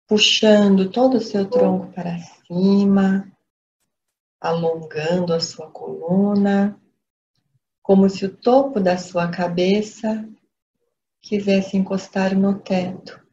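A woman with a Brazilian accent leading a guided meditation as a teacher, with calm, gentle, measured instruction. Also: mid-range voice. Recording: dull lo-fi audio, background noise.

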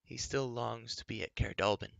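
A man's voice: medium pitch, fairly even intonation, normal speed, vocal fry, dry recording. A man voicing a timid, whimpering furry creature that talks in whiny, broken phrases. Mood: fretful. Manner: whiny, snuffling broken speech.

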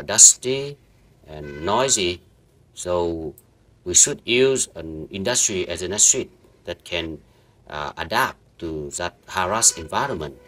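A man with a low voice and guides viewers through a screen-recorded lesson. He talks slowly, piecing sentences together with frequent fillers and false starts. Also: fairly bright tone. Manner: halting, many fillers and restarts. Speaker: networking instructor. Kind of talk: screen-recorded software walkthrough.

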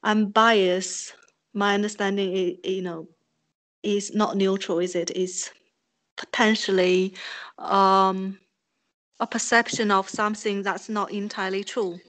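A woman from China, a native Chinese speaker, answers interview questions slowly and thoughtfully. She hesitates often, filling pauses with ums as she searches for words, and her pitch sits in the middle range. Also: flat delivery, fairly bright tone. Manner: hesitant, reflective, many ums. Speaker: guest artist. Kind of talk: interview conversation.

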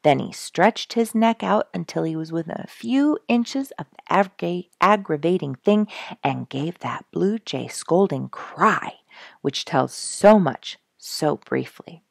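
A middle-aged woman reading a storybook aloud with expressive, deliberate, emphatic phrasing. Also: fairly low pitch, gravelly, no room sound, clean studio sound. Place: small quiet room indoors, seated in an armchair.

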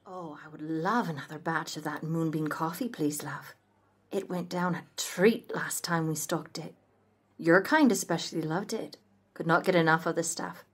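A man's voice: fairly high pitch, moderate pace, breathy edge. A man voicing a kindly, shrewd older café owner with a British accent who calls everyone love and dear. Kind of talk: audio roleplay acting.

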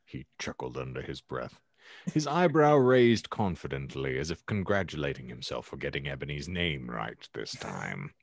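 A man reading fiction aloud in a theatrical, ornate storytelling style with dramatic pauses. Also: very deep voice, very animated, vocal fry, no echo, studio quality. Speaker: podcast host reading aloud his own fan fiction. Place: indoor room at home, video call.

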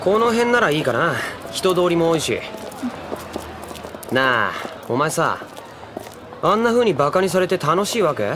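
A man playing a cocky, impatient teenage delinquent in an anime audio drama, talking in rough slang. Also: a little high, big pitch swings, measured pace, long pauses, noisy background. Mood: cocky, casual.

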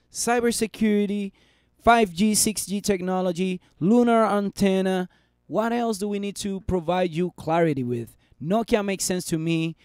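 A man in his thirties hosts a live market stream from a small room at his desk, chatty and upbeat, reading out viewer comments. His voice sits high and sounds a little worn from talking so much. The sound is dry and close, with no room echo. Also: darkish tone. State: losing his voice. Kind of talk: live stream chart commentary and chat replies.

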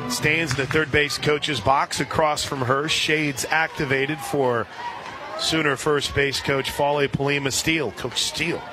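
An American man doing live radio play-by-play commentary of a softball game. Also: fairly high pitch. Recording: outdoor stadium broadcast with crowd and music. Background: ballpark crowd and music.

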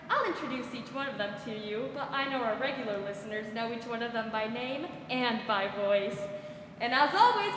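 A young woman playing a gracious, upbeat 1940s radio show host, reading scripted announcements in a folksy, polished way. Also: high-pitched. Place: school theatre stage, indoors. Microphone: stand microphone. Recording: very echoey hall, faint noise.

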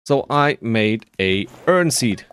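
Animated, slow-paced man commenting on a game. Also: medium pitch, clear, resonant tone. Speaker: gaming video creator. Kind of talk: casual playthrough commentary.

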